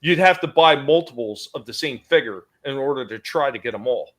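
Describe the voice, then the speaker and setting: A man's voice: mid-range voice, animated, a little fast, fairly bright tone. Man explaining toy history in detail. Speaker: host of a toy collecting show.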